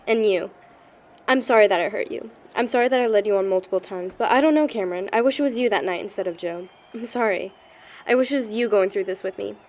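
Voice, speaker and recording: A woman's voice: medium pitch, lightly acted. An actress playing a hot-tempered, hurt young woman in an amateur audio drama, with a biting, emotional delivery.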